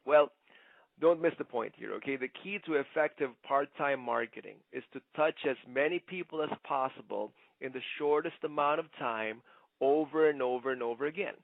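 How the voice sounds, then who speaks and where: A man giving an instructional sales presentation like a trainer, conversational but emphatic, often checking in with a quick "okay?". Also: medium pitch, vocal fry, no room sound. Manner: conversational, punctuates points with "okay?". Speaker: network marketing trainer. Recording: muffled, narrow phone-like sound.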